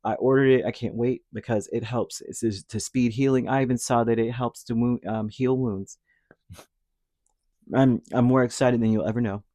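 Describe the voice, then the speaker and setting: A man giving a casual spoken tarot reading, rambling and reassuring, his throat dry and a little hoarse after a cold. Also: mid-range voice, steady tone, normal speed, dark tone, no echo. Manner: rambling, reassuring, with many fillers and restarts. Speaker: tarot reader.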